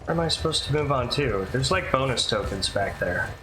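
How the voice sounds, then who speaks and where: A man's voice: mid-range voice, expressive, brisk. A man giving casual let's play commentary, thinking aloud and asking questions as he plays. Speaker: gamer commentating on own playthrough. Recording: roomy echo, faint background noise.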